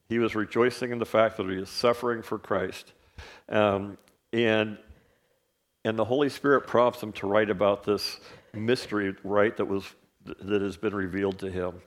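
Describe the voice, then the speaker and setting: A man whose first language is not English, teaching a Bible lesson in a relaxed way, with many fillers and frequent "right?" checks. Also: fairly low pitch.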